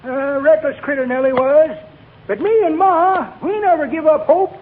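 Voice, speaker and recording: An actor playing a folksy, eccentric old-timer with a rustic way of talking in 1940s radio comedy. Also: male voice, very high-pitched, normal speed. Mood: wistful, folksy. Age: older. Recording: tinny old radio recording, noisy audience.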